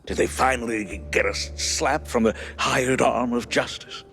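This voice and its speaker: An actor playing an excitable cartoon detective's sidekick, eager and jittery, who stutters on his words. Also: medium pitch, normal speed, creaky, faint music behind. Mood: eager, anxious.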